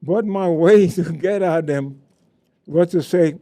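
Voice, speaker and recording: A man's voice: fairly high pitch, slow pace, dark tone. An older man from Cuba with a Cuban Spanish accent, a former ballplayer earnestly recounting memories on a panel. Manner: earnest, proud, with restarts. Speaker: panel guest, former baseball player.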